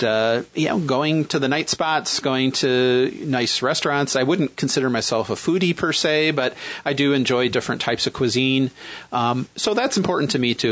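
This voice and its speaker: A man in his fifties with a Midwestern accent, talking casually as a guest, with many pauses and fillers as he searches for words. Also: mid-range voice, fairly bright tone, no room sound. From Michigan, raised in Western New York. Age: 50s. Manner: hesitant, many fillers and restarts. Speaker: guest, single dad looking for a partner. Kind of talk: casual chat.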